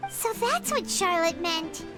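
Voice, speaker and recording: A woman voicing a tiny, eager fairy companion in a video game, with a squeaky childlike voice and a perky manner. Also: big pitch swings, takes it slowly, over music, exaggerated voice. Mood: pondering. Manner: refers to self in third person, perky. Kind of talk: animated video game dialogue.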